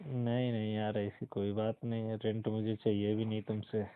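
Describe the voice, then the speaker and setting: A man's voice: on the low side, little pitch movement, moderate pace, noisy background, lightly acted. A man playing a sweet-talking suitor, casual and coaxing, in an intimate phone-call conversation. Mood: reassuring. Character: smooth-talking suitor sweet-talking his lady love. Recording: muffled phone call recording.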